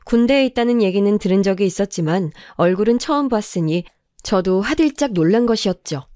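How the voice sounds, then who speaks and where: A woman reading a first-person life story aloud in a calm, even storytelling manner. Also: medium pitch, a little fast, dry recording, clean studio sound. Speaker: story narrator.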